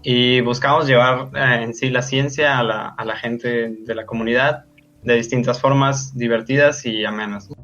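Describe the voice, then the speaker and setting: A man's voice: medium pitch, steady tone, normal speed, a bit bright. Casual man in his twenties explaining. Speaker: guest, national society president. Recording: muffled lo-fi mic.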